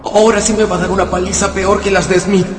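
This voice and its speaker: Man voicing a wary, proud wolf-dog, high-pitched. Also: level tone, fast, over music, lightly acted. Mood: fearful, defiant. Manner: suspicious, defiant inner monologue. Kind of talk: Spanish-language radio drama acting.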